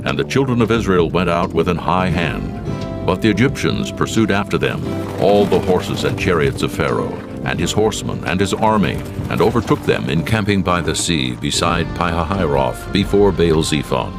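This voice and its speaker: A man narrating a dramatized scripture reading in a slow, solemn, measured way. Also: sits low, expressive, vocal fry. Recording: narration over music.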